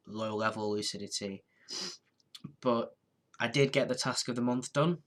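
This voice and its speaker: A man's voice: lowish voice, a bit bright. A man in his twenties with a head cold, chatting casually on a live stream, tired and congested, rambling and restarting his sentences. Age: 20s.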